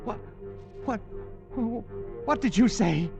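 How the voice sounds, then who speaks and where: A man playing a haunted, unravelling gentleman adventurer, narrating his nightmare haltingly in an old radio drama. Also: very high voice, expressive, vocal fry, heavily acted. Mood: frantic. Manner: halting, anguished, stammering, theatrical. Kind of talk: dramatic first-person narration, 1940s radio acting. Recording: vintage radio recording with music behind.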